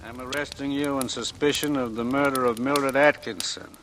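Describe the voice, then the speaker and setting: An actor in a 1940s radio drama playing a stern, formal police officer making an arrest. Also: male voice, mid-range voice, steady tone, moderate pace, a bit bright, natural voice. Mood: stern, official. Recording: reverberant, faintly noisy vintage radio recording.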